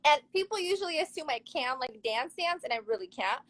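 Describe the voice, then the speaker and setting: A woman's voice: sits high, animated, a little fast, a bit bright. A young woman from Serbia, a model and actress chatting casually as an interview guest, upbeat and laughing easily.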